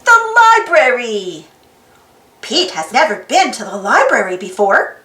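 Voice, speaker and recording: A woman narrating a children's storybook aloud in an expressive, sing-song way for young listeners. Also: fairly high pitch, measured pace. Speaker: storybook reader and channel host. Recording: roomy echo with faint music. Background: faint music.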